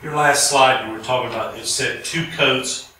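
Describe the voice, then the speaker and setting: A man's voice: medium pitch, steady tone, moderate pace, sharp tone. A man in an audience casually asking technical questions, heard from far off in a very echoey room. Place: indoor lecture room, in audience. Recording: very echoey room, distant mic, faint noise.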